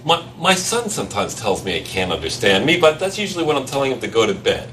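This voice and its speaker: A man with a foreign accent teaching a seminar on trial practice, animated and quizzing the audience as he goes. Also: medium pitch, quick pace, far from the mic, slight background noise. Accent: foreign accent, not native English. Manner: animated, repeats himself, addresses the audience with questions. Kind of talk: lively seminar talk to a room.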